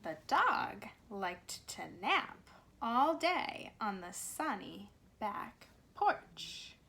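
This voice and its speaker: Female storyteller for young children, unhurried and expressive, highish register, recorded at home with faint noise. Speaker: speech pathologist reading a children's story. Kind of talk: children's storybook read-aloud. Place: cozy corner at home.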